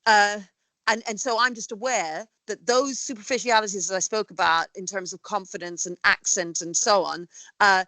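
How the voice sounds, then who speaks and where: A woman's voice: medium pitch, normal speed, no room sound. Talkative woman with a dry, close sound. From Canada. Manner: animated, talkative, many fillers and restarts. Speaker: school headteacher, guest. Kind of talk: conversational interview.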